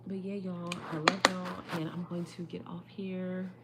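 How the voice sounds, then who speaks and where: Chatty slightly hoarse woman. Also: fairly low pitch, little pitch movement, unhurried, heavy background noise. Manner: chatty, rambling, addresses viewers as y'all. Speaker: vlogger. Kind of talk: casual livestream chat.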